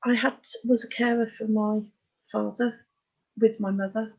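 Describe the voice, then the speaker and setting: A woman in her sixties with an English accent, telling her life story as an interview guest in a frank, halting, matter-of-fact way. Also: fairly high pitch, flat delivery, gravelly, a little mellow, highs cut off. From Oxfordshire, England. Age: 60s. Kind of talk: candid personal life story in conversation.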